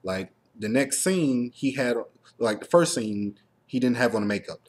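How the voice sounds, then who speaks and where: A man's voice: mid-range voice, expressive, moderate pace. A man hosting a casual review chat, rambling along and peppering his speech with filler words. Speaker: host of a TV review show.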